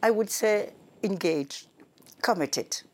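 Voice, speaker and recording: A woman's voice: mid-range voice, expressive, slow pace. A woman who grew up in Senegal, a politician answering interview questions in non-native English with many fillers and restarts. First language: Wolof or other languages of Senegal. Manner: hesitant, many fillers and restarts, laughs readily. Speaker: Member of the European Parliament, guest.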